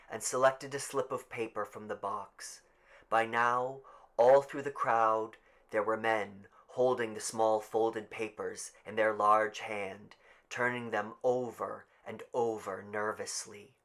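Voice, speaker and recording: A man's voice: fairly low pitch, a bit bright. A man narrating a scripted story as an audiobook reader.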